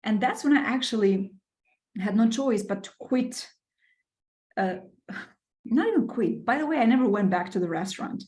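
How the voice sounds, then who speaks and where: A woman's voice: fairly high pitch, animated, normal speed, pauses often, darkish tone. A middle-aged woman from Albania, a podcast guest telling her career story with enthusiasm, using lots of fillers and restarts. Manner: enthusiastic, with many fillers and repeated words. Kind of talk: casual interview storytelling.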